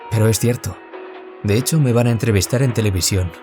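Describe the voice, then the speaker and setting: An actor playing a troubled young writer who survived bullying, confiding in a reflective audio drama monologue. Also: male voice, lowish voice, fairly quick, natural voice. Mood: bashful. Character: troubled young man, former bullying victim, wistful writer. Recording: loud music behind. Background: music.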